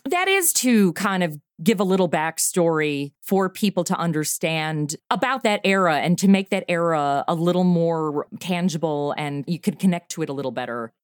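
A middle-aged woman chatting casually as an interview guest about her podcast, animated and quick to laugh. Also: lowish voice, smooth, no echo, clean studio sound.

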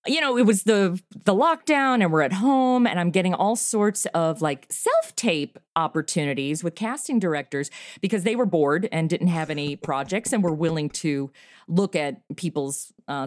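A lively woman in her forties talks over a remote call in a bright, chatty way, laughing easily and using casual fillers. Her voice sits fairly low, and the sound is dry and close. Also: normal speed, no echo. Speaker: podcast creator and guest. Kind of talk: casual interview chat.

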